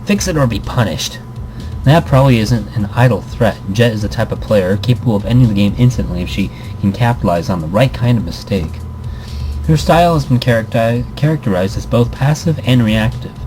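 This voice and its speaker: A man's voice: medium pitch, brisk, music in the background, rough recording. A man giving casual commentary and reading game text aloud, rambling and interrupting himself, with playful asides. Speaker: let's player reading a visual novel aloud. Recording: background noise behind the voice.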